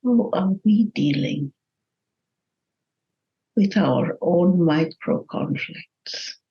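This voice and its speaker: A woman leading a guided meditation in a gentle, measured manner with long pauses between phrases. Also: fairly low pitch, expressive, dull audio.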